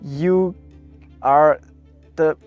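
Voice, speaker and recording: A young man from Austria, giving a network marketing testimonial in an earnest, reflective way, pausing often with fillers and restarts. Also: a little high, fairly even intonation, lo-fi sound, soft background music. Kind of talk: promotional testimonial interview.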